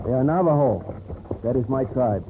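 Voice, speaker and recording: An actor playing an earnest mission-raised helper in a radio western, speaking plainly, carefully and respectfully. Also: male voice, medium pitch, animated, moderate pace, lightly acted. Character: earnest, soft-mannered young Navajo mission-raised helper. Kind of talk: old-time radio western acting. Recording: very noisy, narrow-band old radio recording.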